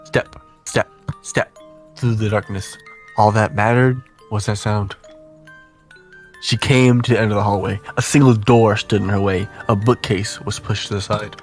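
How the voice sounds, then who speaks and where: Low-voiced man narrating suspensefully. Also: steady tone, moderate pace, faint music behind. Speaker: player reading a visual novel aloud. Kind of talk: dramatic storybook-like narration.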